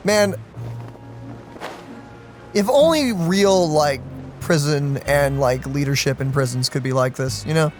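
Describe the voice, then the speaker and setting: A man's voice: fairly high pitch, slow pace, long pauses, soft background music. A man doing casual let's play commentary, musing and reacting informally.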